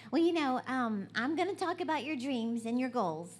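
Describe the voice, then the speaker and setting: A woman's voice: sits high, animated, moderate pace, slight background noise. A woman with a Southern US accent giving a bubbly, folksy, conversational motivational talk to a live church gathering. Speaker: guest motivational preacher. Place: large indoor church event.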